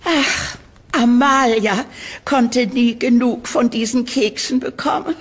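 A woman playing a sweet, frail elderly landlady in a horror audio drama, fussy and cooing, with a husky voice. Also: on the high side, takes it slowly, heavily acted. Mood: motherly, insistent.

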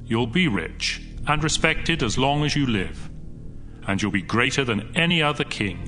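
An actor playing God as a benevolent, all-powerful deity in a dramatized scripture audio drama, assured and authoritative. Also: male voice, medium pitch, animated, moderate pace, sharp tone, faint music behind. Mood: benevolent, majestic.

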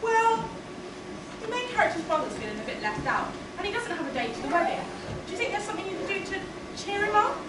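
Very high, pompous pantomime narrator woman acting. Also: sing-song, fast talker. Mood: exasperated. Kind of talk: amateur pantomime stage acting. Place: theatre stage, recorded from the audience. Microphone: far camera. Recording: distant echoey theatre recording, loud noise.

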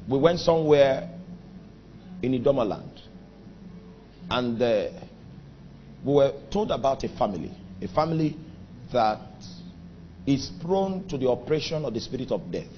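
A man's voice: lively intonation, muffled recording, slight background noise. A Nigerian man preaching a sermon, emphatic, drawing out key phrases and rising suddenly. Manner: emphatic preaching with sudden pitch and volume swings, drawn-out phrases. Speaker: pastor.

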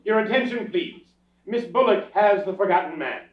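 An actor plays a fussy master of ceremonies in an old-style radio comedy, halting and flustered. His high voice rises and dips a lot. The theatre sound is echoey and distant. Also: expressive. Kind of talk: staged 1930s-style radio play reading. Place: theatre stage with audience, far camera. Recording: echoey dull stage recording, audience noise.

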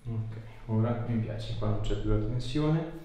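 A low-pitched man speaks as a chiropractor in a small, heavily echoing treatment room. He guides a patient with encouraging, simple instructions, over faint background noise. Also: measured pace, warm tone. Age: 30s. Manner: reassuring, encouraging. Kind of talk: hands-on exam talk, short instructions to a patient.

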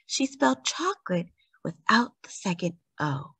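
A woman reading a children's picture book aloud as a storyteller, with deliberate, dramatic emphasis on key words. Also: lowish voice, very animated, bright, forceful tone, slightly rough, no echo. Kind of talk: expressive read-aloud narration for children.

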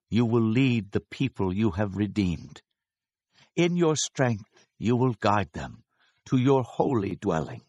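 A man's voice: on the low side, a little mellow, no echo, muffled recording. A man acting a reverent Israelite proclaiming victory in a dramatized scripture reading, grave and declamatory.

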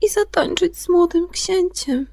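A woman voicing gentle young Cinderella in a children's fairy tale, a timid, emotional girl with a breathless manner. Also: very high-pitched, a little slow, creaky, dry recording, pitched above the performer's own voice, heavily acted. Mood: wistful, tearful.

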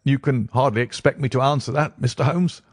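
A man's voice: mid-range voice, a little fast, no room sound, clean studio sound, voice pushed up, natural voice. A man voicing a jittery, self-important fat man in a reedy, quavering, fussy voice for an audiobook. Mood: nervous, fussy. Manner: nervous, fussy. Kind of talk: audiobook character acting.

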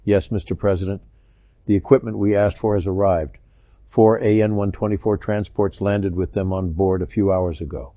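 A businesslike defense official, played by a man. His voice is low and dark, his delivery matter-of-fact. The recording is very dry, audiobook style. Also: steady tone, normal speed, dry recording, lightly acted. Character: businesslike male military official briefing the president. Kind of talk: audiobook character acting.

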